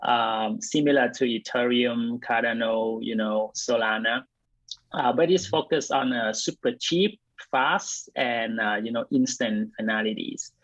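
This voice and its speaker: A man's voice: mid-range voice, a little slow, fairly bright tone, no echo. Hesitant Vietnam-born man, dry lo-fi sound. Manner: hesitant, many fillers and restarts. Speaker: blockchain company co-founder and CTO, guest. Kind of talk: casual interview answers.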